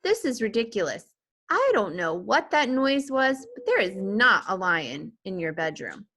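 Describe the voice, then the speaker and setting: A woman's voice: mid-range voice, very animated, a little slow, fairly bright tone, heavily acted. A woman voicing a stern, exasperated, frantic father in a children's storybook. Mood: angry, exasperated.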